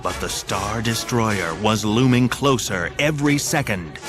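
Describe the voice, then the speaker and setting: A man's voice: on the low side, measured pace. A man narrating an adventure audio drama in a scripted, dramatic storytelling style. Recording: music behind the narration.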